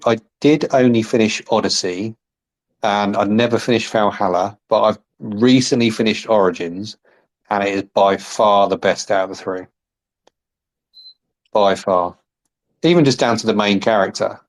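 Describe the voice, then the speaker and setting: A man's voice: lowish voice, moderate pace. A man hosting a casual interview, playful and teasing his guest. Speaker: interviewer host.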